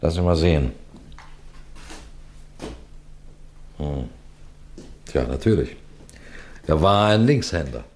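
An actor playing a shrewd, composed senior police detective in a radio crime play, speaking in a deliberate, courteous, instructive way. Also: male voice, rumbling low, expressive, darkish tone, slight background noise. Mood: probing, musing. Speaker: detective and narrator addressing listeners. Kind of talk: scripted German radio crime drama.

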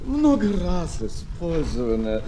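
An actor playing a pompous, dithering penguin poet who declaims theatrically in a children's audio play. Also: male voice, on the high side, wildly swooping pitch, takes it slowly, warm tone, heavily acted. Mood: fussy, musing. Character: fussy, pompous male penguin poet, absorbed in rhyming. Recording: noisy old flexi-disc record.

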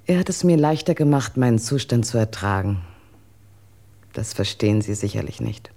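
An actress in a German radio drama playing a proud, cold wife in a wheelchair, with a cutting, sardonic, articulate delivery. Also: female voice, extremely low pitch, animated, moderate pace, warm tone, heavily acted. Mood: cold, defensive.

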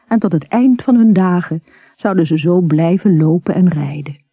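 A narrator reading a literary story in a measured, unhurried manner, with a husky, soft tone. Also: mid-range voice, no room sound.

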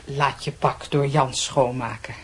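Proper, watchful middle-aged aunt, faint noise. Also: female voice, rumbling low, fairly even intonation. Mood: brisk, bossy. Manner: brisk, pointed questions. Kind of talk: radio drama acting.

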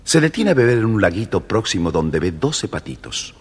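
A man with a deep voice narrates a fairy tale expressively, his pitch moving widely. The old recording has long reverb and faint noise. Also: normal speed, husky. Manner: expressive storyteller. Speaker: storybook narrator.

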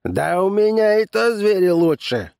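A man voicing a cranky, boastful old drunk with a hoarse, tipsy tenor, as in an audiobook story. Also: high voice, big pitch swings, a little slow, darkish tone, no echo, studio quality, voice pushed up, heavily acted. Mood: drunken boasting. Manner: boastful, belligerent heckling.

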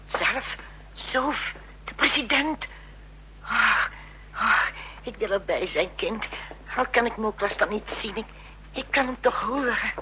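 A woman playing an excitable, fond elderly mother in a Dutch radio drama, repeating herself breathlessly. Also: on the high side, slow pace, pauses often, slightly rough, full character voice, rough recording. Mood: excited, eager.

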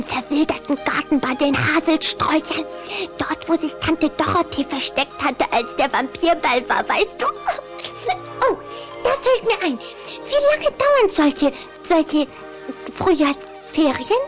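A woman's voice: high-pitched, very animated, measured pace, over music. A woman playing a lovestruck young vampire girl in a German children's audio drama, coquettish, proud and emphatic. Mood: eager, affectionate. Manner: girlish, coquettish, emphatic delivery.

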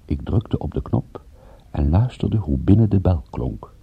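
An actor playing a wry, laconic private detective in a radio play, dry and ironic in dialogue and narration. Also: male voice, sits low, animated, a little slow, gravelly, husky, phone-quality sound, heavily acted. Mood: wry narrating. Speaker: lead actor and first-person narrator. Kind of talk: Dutch radio drama acting and narration.

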